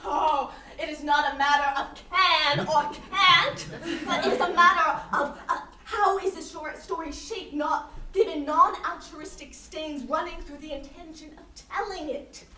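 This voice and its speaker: Woman acting a high-voiced, stubborn intellectual, roomy stage. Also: bright, forceful tone. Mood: lecturing, contrarian. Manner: contrarian, emphatic, halting, repeats and negates. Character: stubborn, evasive female intellectual lecturing on culture and intention. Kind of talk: staged reading of a play before an audience. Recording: roomy, distant stage recording with faint noise.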